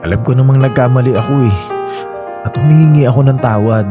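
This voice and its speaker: A man's voice: mid-range voice, quick pace, over music, natural voice. A Filipino man playing a remorseful, pleading ex-boyfriend in a radio drama, earnest in delivery. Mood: remorseful, insistent.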